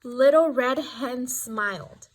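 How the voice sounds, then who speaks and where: A woman reading a children's story aloud with expressive, deliberate and dramatic phrasing. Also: sits high, poor audio. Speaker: storybook reader.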